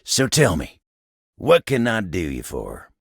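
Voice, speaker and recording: An actor playing a folksy, humble, hardworking cowboy coffee table in a video game, with a gravelly American Southern drawl and an easygoing, genial manner. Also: male voice, deep voice, wildly swooping pitch, slow pace, a bit bright, dry recording, clean studio sound, exaggerated voice. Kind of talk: video game character dialogue.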